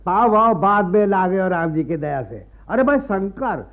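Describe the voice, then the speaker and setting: Shrill, greedy village moneylender. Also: male voice, expressive, normal speed. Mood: needling. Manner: taunting, repeats a pious catchphrase. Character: greedy, wheedling male Brahmin moneylender, pompous village bully. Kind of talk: Hindi radio play acting. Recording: muffled lo-fi radio archive recording.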